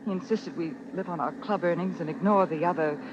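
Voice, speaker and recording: A woman acting a grieving, lovesick, anxious widow in old-time radio drama, with halting, melodramatic delivery. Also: fairly low pitch, highs cut off, background noise, close to an everyday voice. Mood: subdued, evasive. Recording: old radio recording with music. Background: dramatic music.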